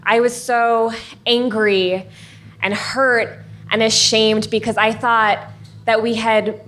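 A woman in her late twenties to thirties from the United States, telling a personal story on stage in an earnest, measured way with wry asides. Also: a little high, level tone, a bit bright, slight background noise.

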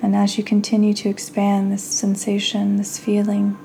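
A woman hosting a guided meditation, speaking soothingly in short phrases with long pauses and breathy endings. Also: mid-range voice, completely flat delivery, dark tone, slight background noise. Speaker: podcast host and meditation guide.

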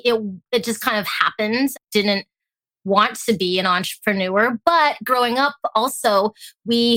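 A woman with an American accent, chatting casually as an interview guest and telling stories in an animated way. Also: on the high side, dry recording, low-quality recording. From born in Hong Kong, grew up in Alaska. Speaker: guest, tea company founder.

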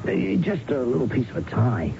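An actor playing an evasive, bereaved American man in a radio drama, explaining himself in a halting way. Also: medium pitch, sing-song, gravelly. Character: evasive bereaved brother living on a ranch. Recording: dull, noisy 1970s radio broadcast recording.

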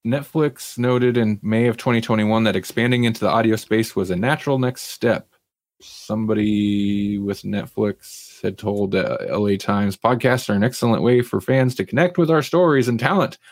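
A man's voice: lowish voice, normal speed, dry recording, studio quality. A man hosting a podcast, casually chatting through entertainment news and sometimes reading aloud, with wry, self-deprecating humor. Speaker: podcast host.

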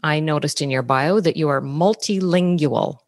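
A woman's voice: low-pitched, moderate pace, no echo, studio quality. A woman hosting a business podcast, reading a prepared introduction and statistics before questioning her guest. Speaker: podcast host.

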